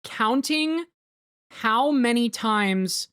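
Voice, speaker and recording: A woman's voice: fairly high pitch, animated, drawn-out words, background noise. A woman hosting a group commentary, explaining things in casual chat, rambling with lots of "like" and some swearing. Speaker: host of a group commentary on a fan dub.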